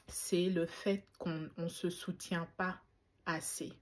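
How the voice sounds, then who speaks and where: A woman's voice: on the low side, steady tone, extremely slow. Reflective woman vlogger from Belgium. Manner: reflective, faith-inflected, many fillers and restarts. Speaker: YouTuber. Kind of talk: casual talk to camera.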